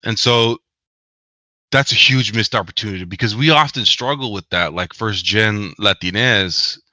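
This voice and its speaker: A man with a fairly low voice and a bright, forceful edge, talking earnestly as an interview guest, often tagging his points with a quick "right?". His first language is Spanish, and the sound is very dry and close, with no room echo. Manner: earnest, animated, frequent "right?" tags. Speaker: guest author. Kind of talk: podcast interview.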